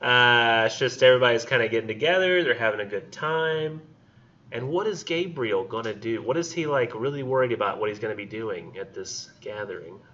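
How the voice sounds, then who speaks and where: Guy teaching lit class, bright forceful voice, chatty, kinda muffled recording. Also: medium pitch, lively intonation, fairly quick, slight background noise. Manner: casual and conversational, acts out characters in quotes. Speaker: college literature instructor. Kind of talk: classroom lecture retelling a story.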